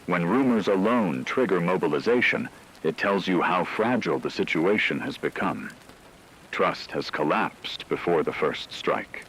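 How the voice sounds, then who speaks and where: A deep-voiced, solemn radio anchor played by a man delivers an emergency news script. The delivery is measured and ominous, with widely moving intonation. A faint layer of noise sits behind the voice. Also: lively intonation, a bit bright, exaggerated voice, rough recording. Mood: grave, ominous. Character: grave male radio anchor reporting a looming war.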